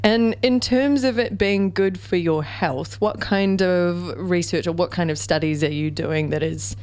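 A woman hosting a radio interview, lively and bantering with her guest. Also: lowish voice, unhurried, clean tone. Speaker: host.

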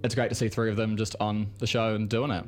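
A man's voice: lowish voice, level tone, fast talker, a little hiss or hum. A man from New Zealand, a guest reviewer in a radio studio, chatting enthusiastically with lots of filler words. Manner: enthusiastic and rambling, many "like" fillers. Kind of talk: casual radio chat.

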